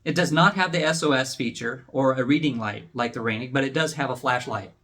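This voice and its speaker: A man's voice: mid-range voice, a little fast, a bit bright. A man hosting a product review, explaining things in a plain, unscripted way as he demonstrates.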